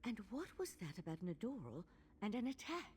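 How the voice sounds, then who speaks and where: An actress playing a wary, serious female adventuring companion in a fantasy game, measured and thoughtful. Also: medium pitch, sing-song, darkish tone, slight background noise, lightly acted. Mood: wary, concerned. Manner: measured, concerned.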